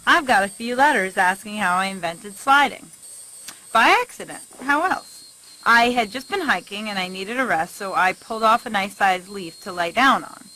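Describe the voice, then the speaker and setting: A woman's voice: mid-range voice, expressive, normal speed, pushed and bright, slight background noise, poor audio. A woman voicing an upbeat, boastful young penguin surfer doing a breezy, slangy video blog in a game. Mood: breezy storytelling. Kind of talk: scripted video blog monologue.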